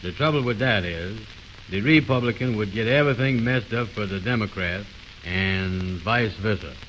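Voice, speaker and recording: A low-pitched, blustering know-it-all played by a male actor lays down his opinions in a 1920s radio comedy. He is pompous and muddle-headed, with folksy, ungrammatical speech. The sound is a dull old recording with faint hiss. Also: moderate pace, a bit bright, close to an everyday voice. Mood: exasperated.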